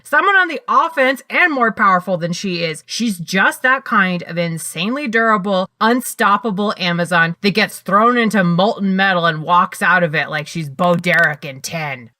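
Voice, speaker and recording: A woman's voice: fairly high pitch, sharp tone. A woman delivering a scripted comedic debate argument as a podcast panelist, in a punchy, emphatic, casually sweary way.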